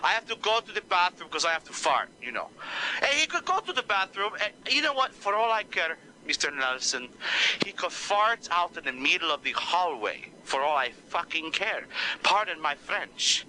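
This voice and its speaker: A man voicing an exasperated female Spanish teacher who swears, complains and uses broken grammar, with a low voice for a woman. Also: big pitch swings, moderate pace, pushed and bright, a little hiss or hum, exaggerated voice, rough recording. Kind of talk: one-man comedy skit.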